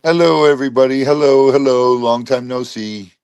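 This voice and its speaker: A man's voice: medium pitch, steady tone, moderate pace, a little mellow, smooth. A man co-hosting a casual livestream, his sinuses acting up, greeting viewers one by one by name. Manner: reads out viewers' names in greetings. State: congested sinuses. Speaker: co-host.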